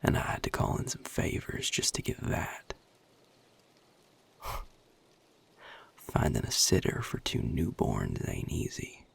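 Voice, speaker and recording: A man playing a weary, protective vampire sire in an intimate audio roleplay, with a Southern US accent, a husky, gravelly low voice and a folksy, guarded drawl. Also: slow pace, long pauses, whispered, lightly acted. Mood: weary, resolute. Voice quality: very deep, gravelly, husky and breathy. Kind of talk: audio roleplay drama, intimate one-sided dialogue.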